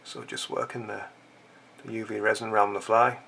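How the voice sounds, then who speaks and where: A man's voice: fairly low pitch, level tone, fairly quick, creaky, pushed and bright, slight background noise. A man with a stuffy, blocked-up nose, chatting casually and joking as he walks through a fly-tying tutorial. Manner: chatty, joking asides while working. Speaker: fly-tying channel host.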